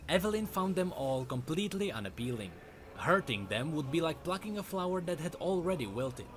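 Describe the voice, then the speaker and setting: A man narrating a dark fantasy story from a script in a dramatic storytelling style. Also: fairly high pitch, big pitch swings, a little fast, background noise. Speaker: lore narrator.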